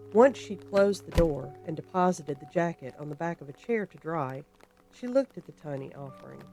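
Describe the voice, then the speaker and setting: Narrator for horror fiction: dramatic, deliberate, suspenseful storytelling over a music bed. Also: medium pitch, a little mellow.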